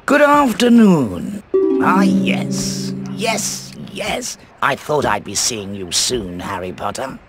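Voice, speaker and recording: An actor playing an eccentric, mysterious old British wandmaker in a video game, theatrical, musing and precise. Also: male voice, medium pitch, background noise, heavily acted. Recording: music behind the voice. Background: music.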